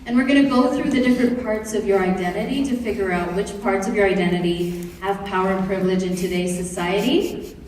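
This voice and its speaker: Woman from Kenya, middle-aged, presents to students with lively, joking audience questions; heard from a distance in a long-reverb hall. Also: mid-range voice, brisk. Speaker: presenter to high school students. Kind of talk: classroom presentation. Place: large room or hall. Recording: echoey hall, voice from far off.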